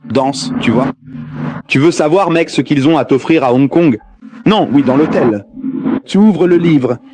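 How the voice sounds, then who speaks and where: A man's voice: medium pitch, very noisy. A man giving a public lecture on religion, asking the audience rhetorical questions and joking as he goes.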